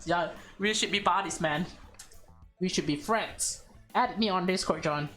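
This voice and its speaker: A woman's voice: fairly low pitch, measured pace, fairly bright tone. A woman doing a casual let's-play of a visual novel, reading narration aloud and adding chatty, informal asides. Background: game music.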